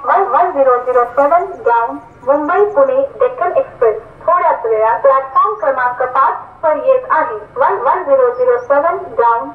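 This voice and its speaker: A high-voiced woman with an Indian accent reads formal station announcements in a measured, formulaic way. Her words come through a tinny public-address loudspeaker with a strong echo. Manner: formal, measured, word-by-word delivery. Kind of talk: formulaic station announcement, repeated in several languages. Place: railway station, over loudspeakers.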